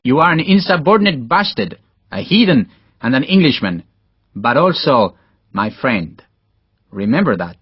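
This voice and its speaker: A man's voice: medium pitch, sing-song, moderate pace, long pauses, slightly rough, no room sound, highs cut off. A man voicing a proud, teasing Spanish soldier with a formal, grand manner in an audiobook. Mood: fond, solemn. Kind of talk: audiobook character dialogue.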